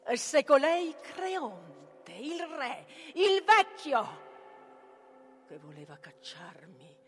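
A woman playing a vengeful, malevolent sorceress mother in a theatrical tragic monologue, with a declamatory delivery that moves between fierce cries and breathy whispers. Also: high voice, huge pitch swings, drawn-out words, full character voice. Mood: frenzied, vindictive. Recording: music behind the voice.

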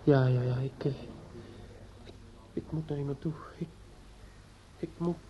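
An actor playing a grief-stricken, distraught young man in a Dutch radio drama, speaking haltingly with stammers and repetitions. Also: mid-range voice, steady tone, close to an everyday voice. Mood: distraught, muttering. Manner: halting, stammering, repeating himself. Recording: dull, slightly noisy old radio recording.

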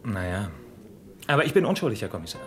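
A man's voice: fairly low pitch, big pitch swings, fast, faint music behind. An actor playing an evasive barman turned informant in a radio drama, hesitant and placating toward authority. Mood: wary, placating. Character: evasive barman informant, deferential and self-protective toward police. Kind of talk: German radio drama acting.

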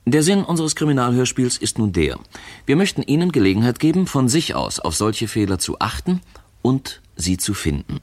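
An actor as a formal narrator, introducing a radio whodunit in measured 1960s German broadcast style. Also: male voice, mid-range voice, big pitch swings, darkish tone. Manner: measured, formal announcer delivery.

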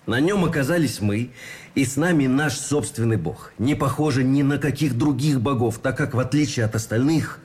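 An actor playing an earnest Russian army officer in a radio play, philosophizing and arguing through rhetorical questions. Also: male voice, mid-range voice, normal speed, a little mellow, natural voice. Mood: insistent.

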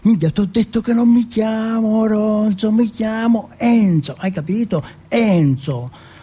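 Man playing touchy high-voiced young thug. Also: measured pace, dark tone, breathy edge. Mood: indignant. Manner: indignant, swearing. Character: touchy, hot-tempered young street thug.

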